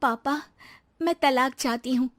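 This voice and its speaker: An earnest young daughter-in-law in a cartoon story, played by a woman, with a high voice. She speaks politely and pleadingly, yet stands up for herself. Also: unhurried.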